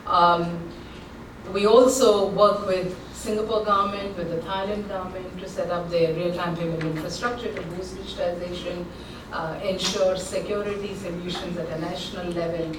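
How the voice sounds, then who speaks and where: Brief: female keynote speaker, earnest corporate tone, echoey hall with clear background noise. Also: on the low side, steady tone, normal speed, recorded from a distance. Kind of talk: keynote speech. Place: large conference hall.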